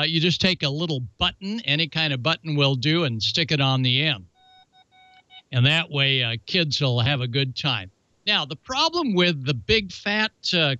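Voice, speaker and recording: A man's voice: on the high side, bright, forceful tone, no room sound, highs cut off. A man hosting a hobby show, talking through announcements and hands-on instructions in a folksy, chatty, playful way. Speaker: ham radio show host. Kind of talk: casual hands-on how-to demonstration with announcements.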